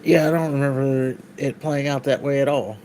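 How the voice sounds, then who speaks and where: A man co-hosting a casual podcast, dropping short, dry remarks. His voice sits at an ordinary middle pitch and stays relaxed. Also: moderate pace, a little hiss or hum. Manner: short wry remarks, laughs easily. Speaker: podcast co-host. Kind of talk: casual chat.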